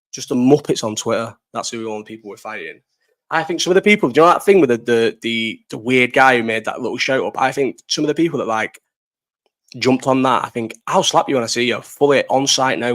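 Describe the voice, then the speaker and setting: A man's voice: mid-range voice, creaky. A young man hosting a casual live chat, reading out comments, rambling, swearing and laughing often. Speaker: livestream host.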